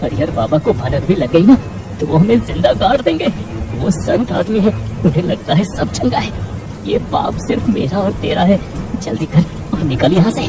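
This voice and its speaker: A woman's voice: on the low side, expressive, normal speed, recorded from a distance, music in the background, close to an everyday voice, rough recording. A woman voicing a scheming, secretly menacing male servant in a cartoon, furtive and scolding.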